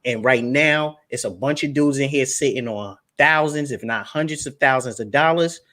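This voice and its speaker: A man's voice: medium pitch, normal speed, fairly bright tone. Emphatic preachy man. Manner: emphatic, preachy, repeats words. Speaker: guest speaker on finance. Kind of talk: casual livestream talk.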